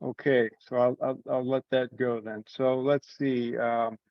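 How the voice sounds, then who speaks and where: A man's voice: medium pitch, flat delivery, a little slow, no echo. Man leading a dry, dull-sounding call. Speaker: meeting leader taking notes. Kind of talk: casual meeting discussion. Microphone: remote teleconference call.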